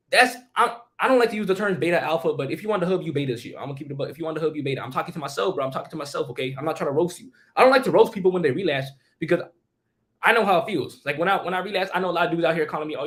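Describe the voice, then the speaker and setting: A man's voice: a little high, animated, racing, fairly bright tone. A young man on a livestream, rambling in a casual, slangy monologue and talking straight to his chat. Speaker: streamer.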